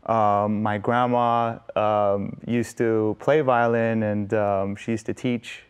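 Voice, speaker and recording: A man's voice: lowish voice, flat delivery, takes it slowly, smooth. A young man from Los Angeles, a guest in a TV studio interview, telling stories in a chatty way with lots of "you know" and "like". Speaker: guest musician. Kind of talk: casual interview storytelling. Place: indoor TV studio set.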